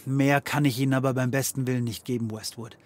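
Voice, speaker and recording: An actor playing a cynical ex-cop private detective in a comedy audio drama, mocking and theatrical. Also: male voice, medium pitch, steady tone, fast talker, a little hiss or hum, close to an everyday voice. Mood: curt, dismissive.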